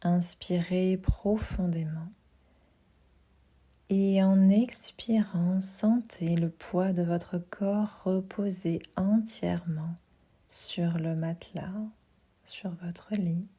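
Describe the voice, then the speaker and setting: A woman leads a guided relaxation for sleep in a mellow, hushed voice that often falls to a near whisper. She speaks very slowly, giving gentle instructions with space between them. Her tone is breathy and soothing throughout. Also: on the low side. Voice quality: soft, breathy, half-whispered. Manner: calm, soothing, unhurried instructions with pauses. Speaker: yoga nidra guide.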